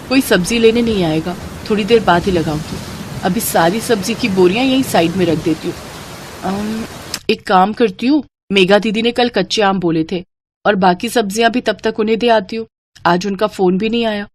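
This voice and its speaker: A woman voicing a kind young vegetable seller in an animated story, speaking brightly and eagerly. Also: mid-range voice, noisy background, lightly acted.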